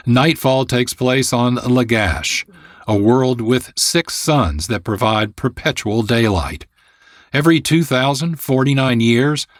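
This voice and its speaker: Male radio host, mid-pitched, scripted, measured, formal, close dry studio mic. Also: no room sound. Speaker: producer and host.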